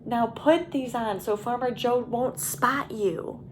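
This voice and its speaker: A woman's voice: on the high side, slow pace, a little hiss or hum. A woman voicing a helpful, businesslike male chicken in a children's storybook, giving instructions plainly.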